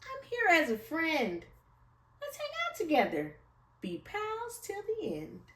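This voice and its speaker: A little storybook mouse, played by a woman, high and swooping. The tiny creature is timid yet hopeful, with earnest, polite manners. It sounds in an echoey room, a bit away from the mic. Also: wildly swooping pitch, takes it slowly, fairly bright tone, distant mic, slight background noise, heavily acted. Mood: friendly, reassuring. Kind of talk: children's storybook read-aloud.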